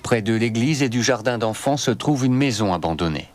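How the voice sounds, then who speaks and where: A man's voice: medium pitch, lively intonation, brisk. A man reading scripted narration for a documentary.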